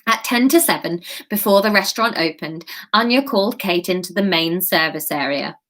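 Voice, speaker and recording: A woman's voice: lowish voice, normal speed, fairly bright tone. A slightly tipsy woman comedian reading a novel aloud on a live stream, giggly and chatty, breaking off to answer comments. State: a bit tipsy. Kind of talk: live-streamed storybook reading with chat asides.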